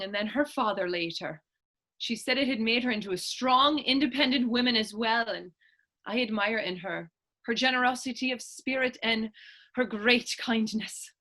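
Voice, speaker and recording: A woman acts out a plucky Irish teenage orphan on the stage. Her voice is fairly high and bright, with a forceful edge. She spins her tale proudly in lilting, old-fashioned Irish phrasing. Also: normal speed. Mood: proud, earnest. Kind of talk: stage readers theatre acting in dialect.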